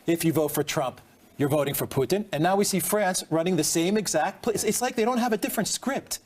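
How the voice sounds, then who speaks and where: A man's voice: sits high, animated, a little fast. A man arguing as a guest on a political panel, driving his point home with emphatic repetition.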